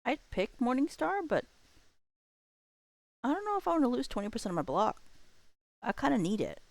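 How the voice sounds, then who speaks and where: A woman's voice: on the high side, sing-song, moderate pace, gravelly, no echo. A woman doing casual live commentary as she plays a game, thinking aloud and talking to herself and to the game. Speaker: game streamer.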